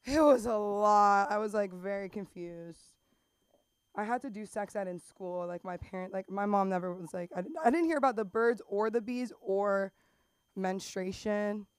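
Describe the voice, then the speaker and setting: A woman's voice: mid-range voice, normal speed, clean tone, dry recording. A young adult woman hosting an open mic, chatting in a rambling way with self-conscious asides. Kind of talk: stand-up hosting. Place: comedy club stage.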